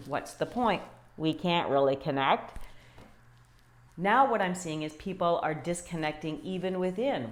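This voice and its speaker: A woman with a loud voice, talking casually and directly to her viewers as a wellbeing coach, full of questions for them. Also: medium pitch. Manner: chatty, animated, talks straight to viewers with questions. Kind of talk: casual direct-to-camera talk.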